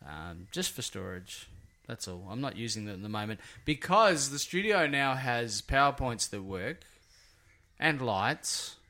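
Hoarse rambling man hosting a podcast. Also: medium pitch, big pitch swings, unhurried, bright, forceful tone. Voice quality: raspy. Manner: rambling, self-mocking. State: hoarse from singing. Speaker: podcast host. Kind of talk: casual podcast chat. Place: small home studio.

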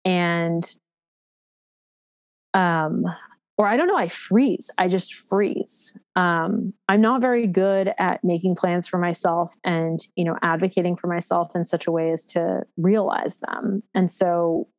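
A woman in her thirties telling her own story candidly, reflective and halting, with frequent fillers and restarts and a frank, self-deprecating edge. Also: fairly low pitch, no room sound. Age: 30s.

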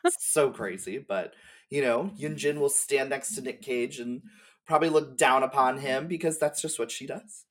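A woman's voice: rumbling low, moderate pace. A woman guest giving casual, informal commentary on game lore, thinking aloud as she goes. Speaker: podcast guest.

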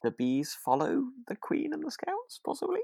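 Lively-pitched man in casual podcast chat. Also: normal speed, gravelly, no room sound, clean studio sound. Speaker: podcast co-host. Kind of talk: casual chat recapping a radio drama.

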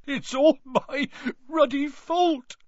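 A huge bearded giant from a storybook, soft-hearted and easily moved. The speech is blunt and colloquial, full of remorse, and swings widely in pitch over a close, dry, slightly dull recording. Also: male voice, sing-song, moderate pace, breathy edge, no echo, exaggerated voice. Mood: sobbing, remorseful. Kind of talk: audiobook character voice.

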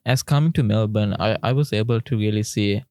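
A man's voice: fairly low pitch, brisk, darkish tone, no echo, clean studio sound. A young man from Sri Lanka co-hosting a faith podcast, speaking earnestly with small stumbles. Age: young adult. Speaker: podcast co-host. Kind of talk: casual chat.

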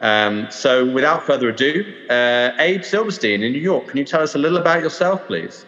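A man's voice: medium pitch, animated, fairly quick, fairly bright tone. A man from the UK with a London English accent, hosting a podcast in a hesitant, filler-heavy way. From United Kingdom. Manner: hesitant, many ums and uhs. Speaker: podcast host. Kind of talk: casual podcast discussion. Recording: dull roomy remote audio.

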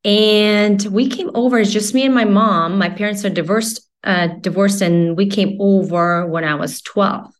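A woman's voice: medium pitch, unhurried, hardly any pauses. A woman in her forties from Latvia, a native Russian speaker, chatting as a podcast guest in a rambling way, with lots of fillers and restarts. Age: 40s. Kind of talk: casual interview chat.

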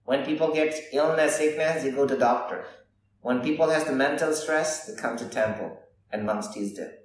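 A man who is a Buddhist monk answering interview questions in halting non-native English, often adding "you know.". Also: mid-range voice, slight background noise. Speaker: Buddhist monk and meditation teacher. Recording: roomy echo, far from the mic, faint ambience.

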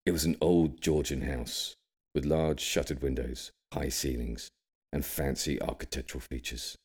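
A man plays a cryptic old grandfather with an extremely low voice. He tells grave audiobook tales of a wartime childhood, measuring his words with an ominous care. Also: steady tone, a little mellow, slightly rough. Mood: grave, nostalgic. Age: older. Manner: careful, measured, ominous storytelling. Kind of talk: audiobook character storytelling.

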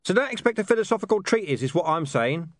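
A man voicing a streetwise talking dog in an audiobook, bossy, wry and quick to explain. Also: high-pitched, big pitch swings, no echo, studio quality, pitched above the performer's own voice. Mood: wry, insistent. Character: gruff streetwise talking dog speaking from the shadows. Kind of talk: audiobook character voice.

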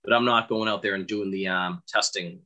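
A man's voice: fairly low pitch, steady tone, a little fast, sharp tone. A man with a thick Boston accent, a sprinkler fitter guest chatting bluntly on a podcast and telling stories about work. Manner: blunt, storytelling, quotes himself. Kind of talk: casual podcast chat.

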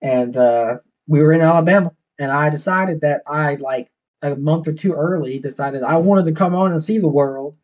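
Higher-pitched Southern man on a dull call. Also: normal speed, darkish tone. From Georgia, USA. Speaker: podcast guest. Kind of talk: casual storytelling chat.

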